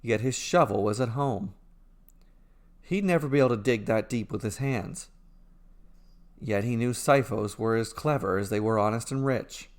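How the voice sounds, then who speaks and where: A man narrating a scripted fairy tale as a storyteller, in a measured, deliberate way. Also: mid-range voice. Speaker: storyteller narrating his own fairy tales.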